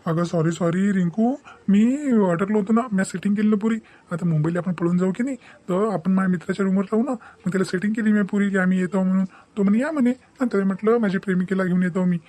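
A man's voice: sits high, fast, mellow. A man voicing a pushy, glib young village boyfriend in a cartoon, with a Vidarbha Marathi accent. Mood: coaxing. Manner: coaxing, glib. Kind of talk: amateur cartoon dialogue.

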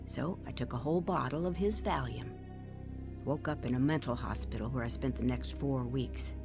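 A woman's voice: extremely low pitch, moderate pace, creaky, music in the background. An actress playing a woman soberly recounting her painful youth, narrating a dramatized testimony. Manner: reflective, sober.

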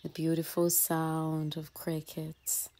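A woman with a low voice guides a relaxation practice as a mindfulness teacher. She speaks extremely slowly and gently, leaving long pauses between short phrases that trail into soft breath. Faint birdsong and nature ambience sit behind her voice. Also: completely flat delivery, slight background noise. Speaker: yoga and mindfulness teacher leading a guided meditation. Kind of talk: guided meditation.

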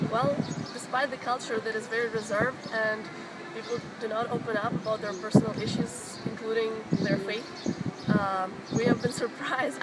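A woman, giving an informal testimonial to camera in a city square. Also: medium pitch. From Ukraine. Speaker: mission team member and programme leader. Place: outdoors in a paved city square. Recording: very noisy outdoor city square.

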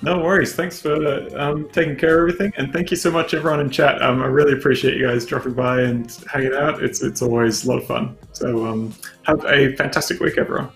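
Relaxed Australian man, gravelly voice. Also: medium pitch, fast talker, faint music behind. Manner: relaxed, hesitant, dry humor. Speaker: illustrator guest. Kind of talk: casual livestream chat while drawing.

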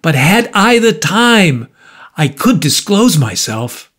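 Storybook murderer, performed by a male narrator: raised pitch, anguished, exclamatory, desperately self-justifying. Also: very animated, takes it slowly, a bit bright, exaggerated voice. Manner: impassioned, exclamatory, rhetorical. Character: anguished male murderer, defiant, tormented, pleading.

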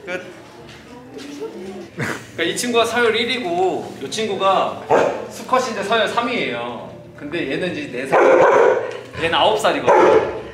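A man's voice: sits high, sing-song, normal speed, long pauses. A man working at a dog cafe, explaining the dogs' ranks and ages to visitors. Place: large indoor dog cafe. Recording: echoey room, far from mic, crowd.

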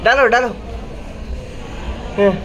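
A man's voice: extremely high pitch, slow pace, dull audio, very noisy. A man, a father filming his small child in an indoor mall play zone on a phone, coaxing and cheering her on with short repeated words. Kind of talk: casual vlog commentary. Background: loud music in the play area.

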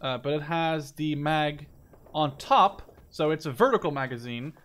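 A man's voice: on the high side, measured pace, fairly bright tone. A man giving casual, rambling gameplay commentary, informal and given to mild swearing. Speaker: gamer and video host.

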